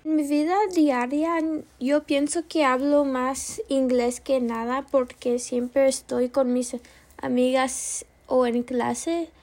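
A woman's voice: sits high, steady tone, darkish tone. A young woman student with an American English accent hosting her own class podcast, speaking carefully and correcting herself now and then. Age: young adult. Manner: slow, careful delivery with small self-corrections.

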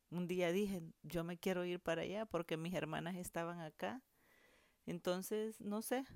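A middle-aged woman from El Salvador, telling her migration story to her daughter with many pauses and repeated words. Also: lowish voice, clear, resonant tone, no echo. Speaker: interviewee, mother. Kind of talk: personal storytelling interview.

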